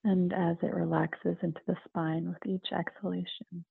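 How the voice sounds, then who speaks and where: A woman leading a guided meditation in a calm, soothing manner, with gentle pauses between phrases. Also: on the low side, gravelly, husky, no echo. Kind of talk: slow guided meditation narration. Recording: narrow phone-like, muffled sound.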